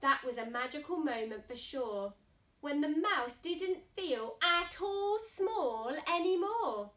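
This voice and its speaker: A woman with a high, bright voice reads a picture book to small children in an echoey room. Her delivery is theatrical and unhurried, with chatty asides to the listeners. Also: expressive, far from the mic. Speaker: storybook reader. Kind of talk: expressive picture-book reading for young children.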